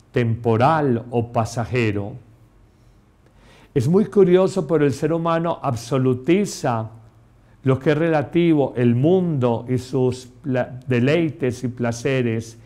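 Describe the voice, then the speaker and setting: A middle-aged priest delivers a homily at an unhurried, deliberate pace. He preaches with emphasis and talks straight to the listener. His voice sits at an ordinary pitch for a man, recorded close in a small chapel. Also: warm tone. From Colombia. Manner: slow, emphatic preaching, addressing the listener directly. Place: small chapel set, indoors.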